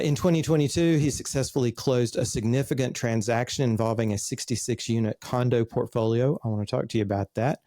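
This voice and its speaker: A man's voice: mid-range voice, dark tone, no echo, clean studio sound. A man in his fifties hosting an interview, reading a guest introduction and then chatting loosely with fillers. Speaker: podcast host. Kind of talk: interview host.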